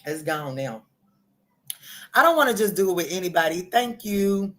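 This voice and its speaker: A woman's voice: lowish voice, expressive, moderate pace, fairly bright tone. A woman, hosting a casual tarot livestream and chatting loosely with viewers. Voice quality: raspy. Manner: chatty, loose and playful, greeting viewers by name. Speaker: tarot reader and livestream host.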